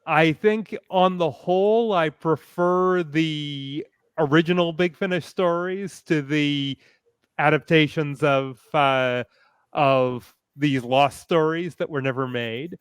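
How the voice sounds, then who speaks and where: A man speaking as a panelist in a fan discussion, hesitant and thoughtful, often halting as he phrases things. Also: a little high, clear, resonant tone, no echo, clean studio sound.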